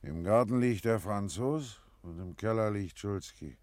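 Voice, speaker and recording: An actor in a radio play as a sober, resigned village elder, measured and dryly philosophical. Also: male voice, lowish voice, lively intonation, a little mellow, no echo. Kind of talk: German radio play acting.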